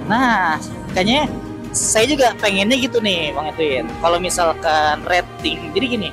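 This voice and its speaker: A man chatting casually as a motorcycle taxi driver, explaining things with halting self-repeats over a helmet intercom while riding. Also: high-pitched, music in the background. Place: outdoors, riding a motorcycle through city streets. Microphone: helmet intercom headset.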